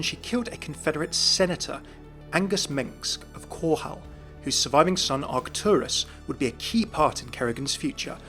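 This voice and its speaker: A man's voice: a little high, a bit bright. A man hosting a video, giving scripted narration of video game lore.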